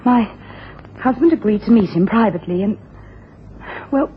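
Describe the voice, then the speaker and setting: An actress playing an anxious, genteel wife in a radio drama, formal, refined and hesitant. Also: female voice, fairly high pitch, expressive, moderate pace, close to an everyday voice. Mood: earnest, confiding. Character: anxious, genteel upper-class wife seeking help. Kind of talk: 1980s radio drama acting. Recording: dull, noisy old radio broadcast.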